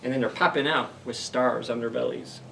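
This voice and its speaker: A man reading a storybook aloud to children in an animated way, pausing to explain simply and ask questions. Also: medium pitch, vocal fry, distant mic, a little hiss or hum, poor audio. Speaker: library story time reader.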